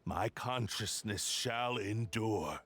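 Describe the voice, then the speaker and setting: A man playing an arrogant, vengeful sword spirit in a video game, gravelly and grandiose, making menacing declarations. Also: on the low side, takes it slowly, fairly bright tone, a little hiss or hum. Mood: cold menace. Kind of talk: video game villain acting. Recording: game music under the voice.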